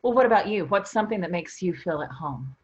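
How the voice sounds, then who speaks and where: A woman acting in a staged play reading as an earnest, puzzled invisible sibling who gently encourages others, with a husky voice and dull lo-fi sound. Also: lowish voice, animated, quick pace. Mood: eager, encouraging.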